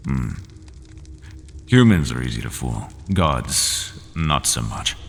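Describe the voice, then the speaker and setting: A man voicing a resigned, half-human horned monster in an audio roleplay, speaking slowly and formally without contractions. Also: a little hiss or hum, full character voice. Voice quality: very deep and gravelly. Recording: long hall reverb, faint ambience.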